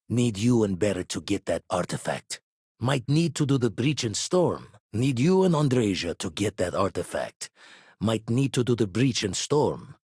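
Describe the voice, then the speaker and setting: Husky, low-voiced seasoned space explorer. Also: male voice, a little mellow, no room sound, clean studio sound. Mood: grave, wry. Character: seasoned, gruff male space explorer, ex-pirate mentor. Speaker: video game voice actor. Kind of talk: scripted game character dialogue.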